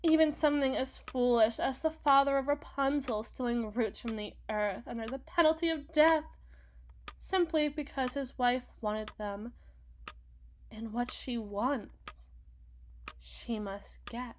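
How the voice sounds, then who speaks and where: Casting: woman to play a cool, scheming female demon for ASMR audio drama; formal, unhurried delivery over noticeable background noise. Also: a little high, natural voice. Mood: intrigued, intense. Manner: slow, formal, deliberate, theatrical phrasing. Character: cool, possessive female demon proposing a marriage contract. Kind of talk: ASMR roleplay, scripted dramatic monologue.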